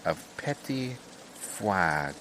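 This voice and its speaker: Male actor as haughty insulter, deep bass register, pompous and formal, improvised audio comedy over obvious background noise. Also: sing-song, drawn-out words, pushed and bright, full character voice. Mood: haughty, affronted. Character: haughty, affronted man trading culinary insults. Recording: noticeably noisy background.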